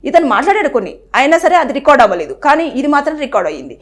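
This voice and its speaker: A woman's voice: sits high, very animated, racing. A woman, presenting an earnest explainer that advises the viewer and acts out what people say. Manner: earnest, advising, repeats phrases and acts out quoted speech. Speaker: news presenter. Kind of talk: explainer to camera on a legal topic.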